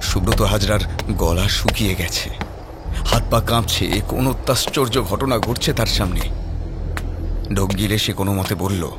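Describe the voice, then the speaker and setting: A man's voice: lowish voice, normal speed, heavy background noise. A man narrating a scripted horror story in a theatrical, suspenseful manner.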